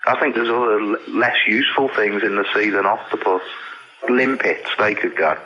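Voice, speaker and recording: A man's voice: on the low side, normal speed, pushed and bright. A man answering casual interview questions as an animal expert, plain-spoken and matter-of-fact. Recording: dull lo-fi interview audio.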